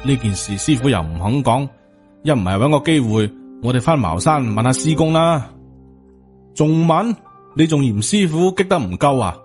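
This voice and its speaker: A man's voice: medium pitch, expressive, normal speed, darkish tone, soft background music, lightly acted. A man with a Hong Kong Cantonese accent voicing a dejected, worried, loyal disciple in an audiobook, with a reproachful manner.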